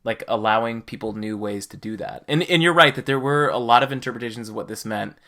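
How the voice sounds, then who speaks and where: A man's voice: medium pitch, fast talker, pushed and bright. A man co-hosting a podcast, chatting casually and earnestly about a game. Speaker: podcast co-host.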